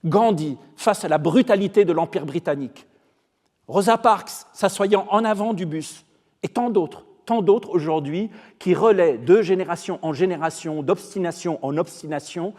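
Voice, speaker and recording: Male lecturer, first language Arabic, high voice, measured and emphatic, in a large echoing hall. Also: darkish tone. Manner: measured, emphatic, slows on key phrases. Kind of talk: formal lecture. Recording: long hall reverb.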